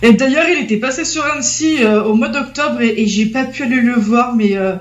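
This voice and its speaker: A woman's voice: a little high, a little fast. A woman talking casually as a panel guest, chatty and full of fillers. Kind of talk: casual studio discussion. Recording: echoey, dull-sounding room.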